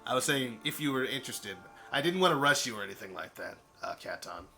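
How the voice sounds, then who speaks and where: A man hosts a casual group voice call, rambling along and correcting himself mid-sentence. His voice rises and falls in a lively way over a voice chat mic, with music clearly heard behind him. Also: mid-range voice, quick pace. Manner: rambling, with fillers and self-corrections. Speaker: stream host. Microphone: voice chat microphone.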